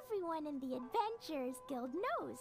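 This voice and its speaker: A woman's voice: high voice, sing-song, normal speed, faint music behind. A woman voicing a sweet, innocent and eager little girl in an anime game. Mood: cheerful, earnest. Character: cheerful, innocent little girl who loves mischief.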